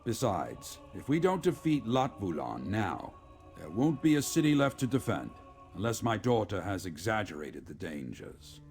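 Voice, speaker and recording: A man playing a remorseful, resolute middle-aged general in a video game, with a gravelly voice and formal, measured military speech. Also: fairly low pitch, big pitch swings, over music. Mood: grim, determined. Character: remorseful, resolute veteran male general, father of a grown daughter. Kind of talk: scripted video game character dialogue.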